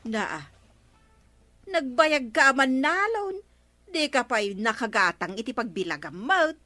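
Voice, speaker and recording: Grudging neighbour woman, Ilocano radio comedy, highish dry voice, full of excuses. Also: very animated, slow, pushed and bright, no echo, soft background music, exaggerated voice. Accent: Filipino, Ilocano. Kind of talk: broadcast radio comedy drama acting.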